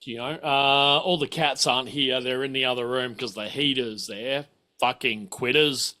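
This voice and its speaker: A man's voice: mid-range voice, fairly even intonation, normal speed, bright, forceful tone. A man, chatting casually as a game master in a rambling, sweary way. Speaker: game master of a streamed tabletop RPG. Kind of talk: casual chat.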